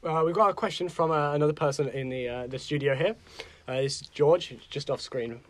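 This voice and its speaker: A male interviewer in a studio speaks fast in a slightly raised voice. He fills his questions with hesitant "uh"s. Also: a little high. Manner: hesitant, many "uh" fillers.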